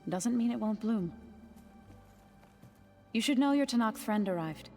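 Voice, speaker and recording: Wise gentle mentor lady, video game scene, echoey hall, light music under. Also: fairly high pitch, lively intonation, a little slow. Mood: gentle, reassuring. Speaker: game character actor. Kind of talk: dramatic game cutscene acting. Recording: reverberant hall, music behind.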